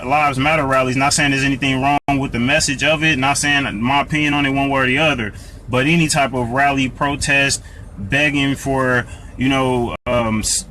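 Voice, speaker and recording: A man's voice: mid-range voice, pushed and bright, slight background noise, poor audio. An American man hosting a live Q&A, answering viewer comments in an earnest, preachy way, often restarting and repeating words. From United States. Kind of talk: live Q&A talk.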